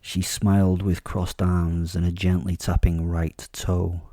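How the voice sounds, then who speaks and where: A man playing a suave, vain werewolf killer who narrates a horror audio drama in a measured, smug, darkly humorous way. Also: low voice, level tone, warm tone, dry recording.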